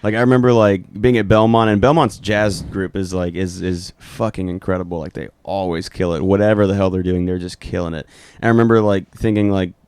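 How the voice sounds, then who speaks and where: Deep-voiced young man, emphatic and swearing. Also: steady tone, fairly quick, darkish tone, clean tone. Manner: emphatic, profane praise. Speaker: guest. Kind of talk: casual podcast chat.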